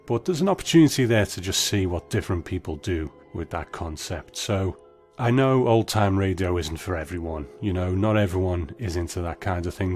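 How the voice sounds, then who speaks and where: A man hosting a solo podcast with measured, reflective, conversational commentary. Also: sits low, darkish tone. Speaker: podcast host. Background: faint music.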